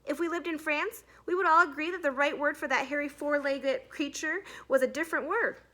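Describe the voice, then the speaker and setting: Woman as a stern schoolteacher, bright and emphatic. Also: sits high, fairly even intonation, brisk, voice pushed up. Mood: lecturing. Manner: emphatic, lecturing. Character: stern, brisk woman schoolteacher, firm and authoritative.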